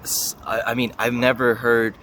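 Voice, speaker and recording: A man's voice: medium pitch, completely flat delivery, moderate pace, fairly bright tone, a little hiss or hum. A young man giving casual interview answers as a university student, thinking aloud and hedging as he goes. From Russia and the US. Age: young adult.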